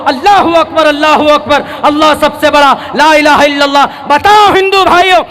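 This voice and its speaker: Very high, forceful male preacher in heavy reverb. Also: fairly even intonation, moderate pace. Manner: emphatic, declamatory, repeats phrases for stress; mixes scripture recitation and English words into his address. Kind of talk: fiery sermon oratory to a crowd. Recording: noisy, long-reverb public address sound.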